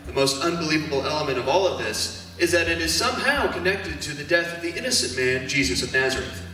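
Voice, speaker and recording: A man's voice: a little high, expressive, fast talker, sharp tone. A man acting an urgent news reporter in a church stage drama, asking probing, insistent questions. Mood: grave, perplexed. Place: church hall stage performance. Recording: very echoey hall, distant mic.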